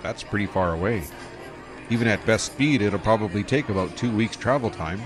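A man's voice: lowish voice, moderate pace, music in the background, lightly acted. An actor playing an easygoing young male starship pilot who talks in a casual, laid-back way in an audio drama.

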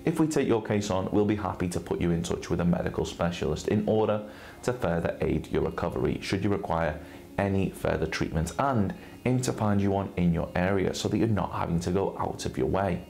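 A British man with a very low-pitched voice presents a scripted legal explainer. He speaks in a measured, formal way with careful articulation. Music plays behind him. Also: expressive, gravelly, a little mellow. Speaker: presenter for a personal injury claims firm. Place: indoor studio set with brick wall and armchair. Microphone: lapel mic.

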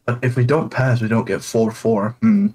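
A man's voice: medium pitch, very animated, normal speed, a little mellow, slightly rough. A man from Denmark playing a card game over voice chat, sarcastic and self-mocking. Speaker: streamer, duo partner. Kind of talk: casual gaming chat.

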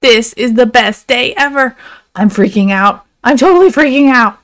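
A woman voicing silly, excitable animals with a gushing, easily confused manner in a children's storybook. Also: fairly high pitch, moderate pace, lightly acted. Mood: thrilled.

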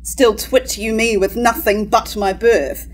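A woman playing a proud, scornful, scheming royal sister in a staged reading of verse tragedy, declaiming in measured lines. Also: fairly high pitch, steady tone. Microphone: stand microphone at lectern.

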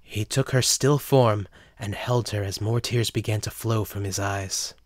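A man's voice: on the low side, fairly even intonation, unhurried, no echo, clean studio sound. A man narrating a scripted story for an audio drama.

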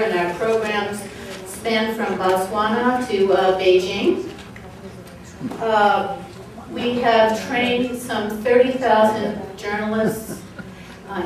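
A woman's voice: medium pitch, recorded from a distance, a little hiss or hum. A woman in her forties giving opening remarks at a podium, reading from notes with frequent ums and uhs. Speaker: event organizer opening a panel. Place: conference room with banner. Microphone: podium mic on a stand, recorded by a far camera. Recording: echoey room, voice from afar.

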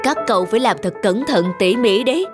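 A woman's voice: a little high, lively intonation, a little fast, natural voice. A woman voicing a strict, fretful middle-aged master carpenter in a cartoon folk tale, with a dramatic, exclaiming delivery. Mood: stern. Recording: music behind the voice.

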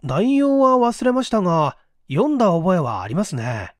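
A man narrating a mystery story as an audiobook, in a measured, literary and dramatic storytelling manner. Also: high voice, sing-song, no echo, studio quality. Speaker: audiobook reader, stage actor.

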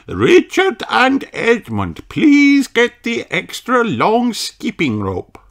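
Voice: medium pitch, wildly swooping pitch, slow, pitched above the performer's own voice, heavily acted. A storybook narrator voicing a kindly, cheerful female gazelle who teaches young children. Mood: cheerful, encouraging.

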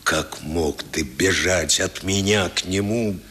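A man's voice: deep voice, slow, bright, forceful tone, heavily acted. An actor playing a stern, proud old grandfather with theatrical, emphatic phrasing in a 1970s radio drama. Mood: tender, remorseful. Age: older.

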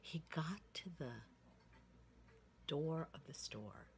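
A woman in her sixties telling a children's story in a dramatic, folksy way with emphatic pauses. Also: low-pitched, expressive, a little hiss or hum. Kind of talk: animated oral storytelling for children. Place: indoor room with wooden ceiling. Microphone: phone at arm's length.

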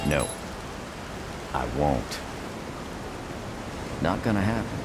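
Western game hero: terse, defiant lone gunman, low-pitched, lively inflection. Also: slow, very noisy, full character voice. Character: stubborn, terse lone male gunslinger.

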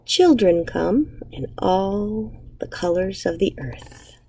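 Unhurried, slightly creaky woman reading to children. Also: mid-range voice, expressive, mellow, poor audio. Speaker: elementary school teacher. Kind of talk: picture book read-aloud for children. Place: indoors, empty school classroom.

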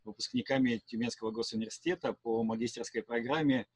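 Husky-voiced interviewer on a dry video call. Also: male voice, mid-range voice, steady tone, quick pace, darkish tone, dry recording.

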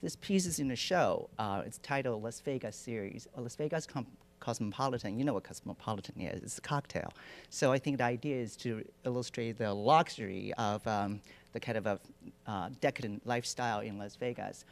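A middle-aged man from Taiwan speaks, mid-pitched and easygoing. He pauses often with fillers and slips in self-deprecating jokes. He talks into a handheld mic in a lecture hall. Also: creaky. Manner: relaxed, hesitant with many fillers, self-deprecating humor. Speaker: artist giving a lecture. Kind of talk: lecture presentation. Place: lecture hall with projection screen. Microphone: handheld microphone.

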